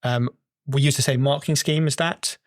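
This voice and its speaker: A man speaks as an interviewed researcher, explaining technical work in conversation. His pitch is mid-range and he rephrases as he goes. The recording is close and very dry, with no room sound. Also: fairly even intonation, moderate pace, dry recording. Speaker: project researcher being interviewed.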